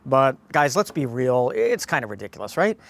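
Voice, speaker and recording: A man's voice: mid-range voice, sing-song, moderate pace, gravelly. A man presenting an informal product review, addressing viewers directly in a folksy way as a host. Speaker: host of a radio gear review channel.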